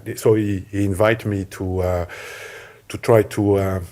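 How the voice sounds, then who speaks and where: A man from France with a French accent opens a press conference as author and moderator, speaking haltingly with many hesitations. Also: on the low side, little pitch movement, darkish tone.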